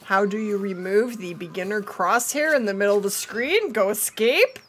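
Animated, bright-voiced woman streamer with a cold. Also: mid-range voice, moderate pace. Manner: chatty, talking to viewers and to the game. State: has a cold, losing her voice. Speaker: video game livestreamer. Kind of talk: casual livestream gameplay chat. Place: indoors at a streaming setup.

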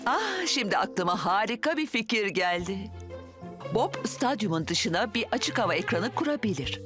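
A woman playing an enthusiastic middle-aged town mayor in a children's cartoon, gushing and excitable. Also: medium pitch, moderate pace, close to an everyday voice. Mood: excited. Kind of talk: animated children's cartoon dub. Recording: background music under the voice.

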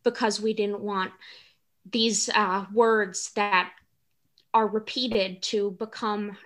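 A young woman speaking in a thoughtful academic discussion, choosing formal words slowly and hesitantly. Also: medium pitch, level tone, fairly bright tone. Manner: hesitant, measured, formal phrasing. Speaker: director on a production panel.

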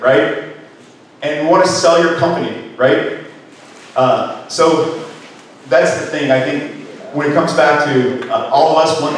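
Energetic guy giving a business workshop, handheld mic, echoey room, little hiss. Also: medium pitch. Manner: energetic, gestures, asks the room questions. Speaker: business speaker. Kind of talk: live workshop talk to an audience. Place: conference room with projector screen. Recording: echoey room from far off, faint noise.

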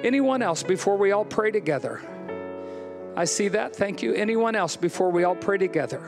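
A middle-aged American man, a pastor preaching emphatically on a church stage into a handheld mic, then leading a soft altar-call prayer. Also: high voice, expressive, normal speed, music in the background. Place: large church stage with band.

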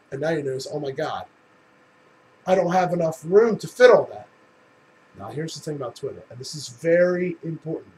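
A man's voice: medium pitch, darkish tone, a little hiss or hum, poor audio. A man giving a casual comedic tutorial in a tongue-in-cheek, mock-instructional way with casual swearing. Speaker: tutorial presenter.

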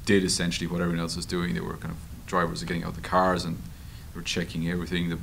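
Male interviewee, Irish, low creaky voice, conversational storyteller, light room noise. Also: fast talker. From Ireland. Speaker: journalist and author being interviewed. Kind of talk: conversational interview answers at a public discussion. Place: meeting room at a table. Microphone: table microphone. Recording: steady background room noise.

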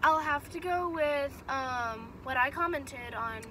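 A teenage girl guesting on a casual chat show, talking with dry, deadpan humor from the back seat of a car. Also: high voice, takes it slowly, background noise. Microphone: phone camera in front seat.

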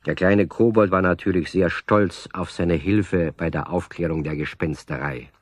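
A man playing the storyteller of a children's radio play, narrating in a husky, measured, confiding voice. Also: low voice, dry recording, studio quality. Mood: storytelling. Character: male storyteller addressing child listeners.

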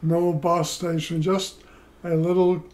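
Slow older man from Poland. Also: a little high, level tone, a little mellow. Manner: slow, measured, pausing between phrases. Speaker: interviewee. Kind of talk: oral history storytelling. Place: living room, indoors.

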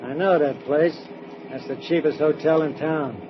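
Man playing a slangy nosy cabbie, slightly high voice, noisy dull old radio. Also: fairly even intonation, moderate pace, natural voice. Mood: gabby. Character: chatty working-class male cab driver.

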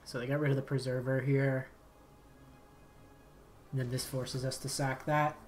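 Streamer type: slightly raspy man, low-key play-by-play, mid-pitch, light background hiss. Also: flat delivery, moderate pace. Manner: thinking aloud, low-key, muttered asides. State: slightly raspy from long talking. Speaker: game streamer. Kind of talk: casual gameplay commentary. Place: home streaming setup.

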